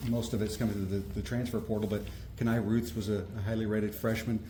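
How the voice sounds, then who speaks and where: A man with a low, mellow voice, a sports reporter, asks quick questions with context. The room is echoey with faint noise behind. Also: flat delivery. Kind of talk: interview questions.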